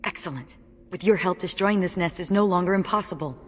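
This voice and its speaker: A woman's voice: on the low side, fairly quick, close to an everyday voice. Game role: woman plays wry, plucky survivor fighter; chatty delivery in a reverberant hall. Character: plucky, wry female warrior, lone survivor. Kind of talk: video game voice acting.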